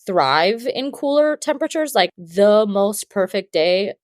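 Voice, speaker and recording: A young woman dairy farmer talks on a podcast in a blunt, animated way and swears for emphasis. Her pitch sits in the middle range, and the recording is dry and close. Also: unhurried, no room sound. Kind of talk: casual podcast chat.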